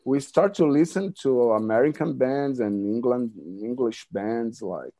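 A Brazilian man in his forties with a Brazilian Portuguese accent, chatting as a guest in an interview, halting and repeating words, laughing often. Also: mid-range voice, dark tone. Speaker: band member, guest. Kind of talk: casual interview chat.

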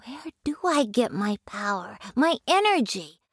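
A woman voicing an eager young boy tree in a storybook reading, with a slow, wide-eyed, sing-song delivery. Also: a little high, sing-song, a bit bright, slightly rough, no echo, clean studio sound, voice pushed up, heavily acted. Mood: puzzled. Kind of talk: storybook character voice.

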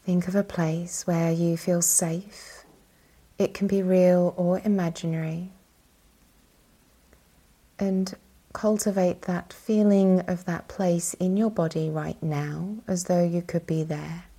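Woman, meditation instructor, lowish voice, husky and breathy, soothing, unhurried delivery. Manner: calm, soothing, unhurried with long pauses. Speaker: yoga nidra instructor. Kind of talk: guided meditation, spoken instruction.

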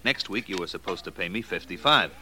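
A man's voice: low-pitched, animated, a little fast, noisy background. An actor playing a coolly menacing male loan shark in a 1940s radio crime drama, talking tough street slang. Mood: calm menace.

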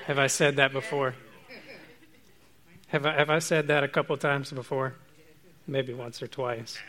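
A man preaching a relaxed, informal sermon to his congregation, chatty and self-joking. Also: medium pitch, a little slow.

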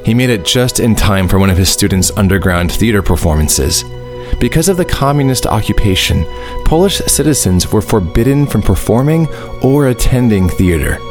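Male narrator for audio drama, lowish register, scripted storytelling over music. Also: lively intonation, normal speed.

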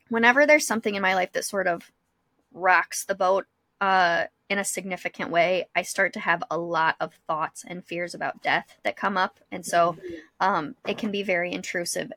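A young woman with a bright, clear-cut tone, recorded very dry. She co-hosts a casual, reflective conversation and shares personal experience candidly, at a middle pitch. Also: no room sound. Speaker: podcast co-host.

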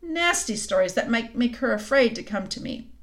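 A woman's voice: fairly high pitch, lively intonation, normal speed, natural voice. Woman doing a silky, scheming queen. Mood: coaxing. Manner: coaxing, silky, suddenly sharp. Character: sweetly scheming cold queen, tall and commanding.

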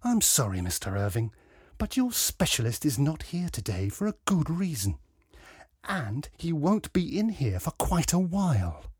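A man reads as a hospital receptionist, a woman with a polite, firm manner and restrained irritation. The recording is dry, the delivery varied in pitch. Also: huge pitch swings, measured pace, breathy edge, no room sound, heavily acted. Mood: politely firm. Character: patient but irritated female hospital receptionist.

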